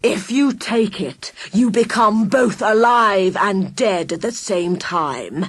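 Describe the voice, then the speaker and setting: A woman voicing a sneering male villain mastermind, drawing out his words slowly and menacingly. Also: mid-range voice, a bit bright. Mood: sneering menace.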